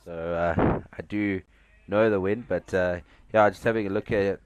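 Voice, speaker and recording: A man from South Africa with a South African accent, giving conversational, somewhat halting live cricket commentary. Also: low-pitched, fairly even intonation, smooth, no room sound, muffled recording. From Cape Town, South Africa. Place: outdoors at a cricket ground.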